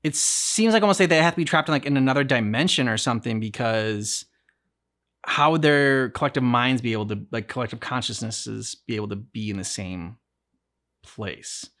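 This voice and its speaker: A man in a medium-pitched voice chats casually as a reaction host. He rambles and haltingly repeats himself as he thinks aloud. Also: a bit bright. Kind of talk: casual chat, reacting to a game.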